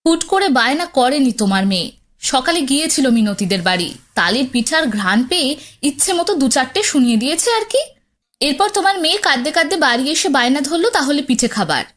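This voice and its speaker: A woman voicing a quick-tempered village wife and mother in a cartoon, scolding and complaining. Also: high voice, sing-song, a bit bright. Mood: irritated.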